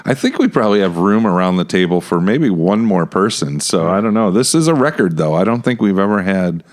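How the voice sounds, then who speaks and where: A man's voice: deep voice, lively intonation, a little fast. A man co-hosting a casual podcast in a playful, jovial manner on a studio microphone. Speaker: pastor and podcast co-host. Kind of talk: casual chat. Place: small studio round a table. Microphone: close podcast microphone.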